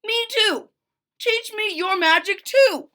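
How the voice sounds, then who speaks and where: Woman acting a male village helper, dim, eager, sulky, blurting, storybook style, bright voice. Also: very high-pitched, slow pace, voice pushed up, exaggerated voice. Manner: hesitant, blurting, excitable.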